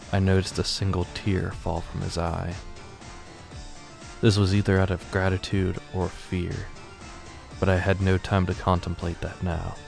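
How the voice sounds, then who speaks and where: A man narrates a first-person audio drama in a very deep, flat, gravelly voice. He tells it in a measured, matter-of-fact way while guitar music plays clearly behind him. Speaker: narrator and writer.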